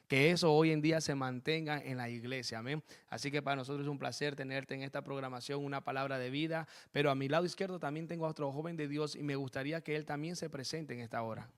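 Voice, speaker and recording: A man's voice: medium pitch, steady tone, quick pace, hardly any pauses. A man who is a pastor hosting a church program, praying and interviewing guests with fervent blessings. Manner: fervent, many amens and blessings. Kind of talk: preaching interview with spoken prayer. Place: church program set in Spain.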